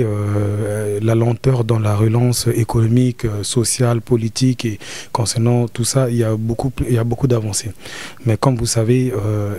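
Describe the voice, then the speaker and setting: Man, formal address, halting, many fillers, flat delivery, mellow tone. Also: medium pitch. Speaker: official speaking on the country's situation.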